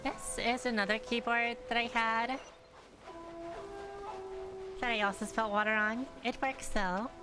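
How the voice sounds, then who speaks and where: A woman's voice: fairly high pitch, steady tone, a little slow, long pauses. A woman chatting casually as she streams a game, informal and rambling, with game music behind her. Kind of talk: casual gameplay chat. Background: game music and battle sounds.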